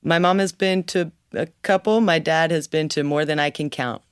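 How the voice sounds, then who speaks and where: A musician guest with a slightly raspy voice, chatting easily and laughing often in an interview. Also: mid-range voice, fairly even intonation, moderate pace, no echo, studio quality. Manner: easygoing, laughs often, talks freely. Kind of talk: casual interview chat.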